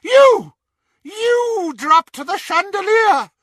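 A cruel witch shrieks and sneers at her captives in a storybook reading, her voice pitched far above normal talk. A man acts her out, bawling her threats. Her voice is dry and close. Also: big pitch swings, takes it slowly, sharp tone, no echo, heavily acted. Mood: shrieking fury.

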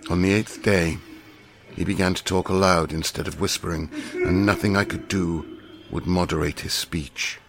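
A man with a very deep, low voice reads a classic novel aloud. His delivery is measured and deliberate, with a grave, serious tone. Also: steady tone, vocal fry. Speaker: audiobook reader. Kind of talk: scripted narration of a classic novel.

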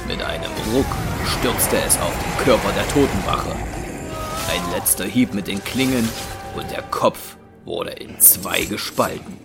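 A man's voice: sits low, measured pace, vocal fry. A man narrating a scripted audio drama as a dramatic storyteller. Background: music.

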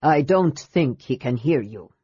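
A woman voicing a sly, calm old male dwarf, over 60, with a low, quiet, wry delivery in a storybook reading. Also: a little slow, husky, dry recording, dull audio, full character voice.

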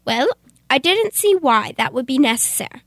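A woman's voice: high-pitched, sing-song, moderate pace, fairly bright tone, exaggerated voice. A young woman playing a polite, oblivious, flustered servant in a comic radio play, earnest and deferential. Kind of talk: comic old-time radio theater acting.

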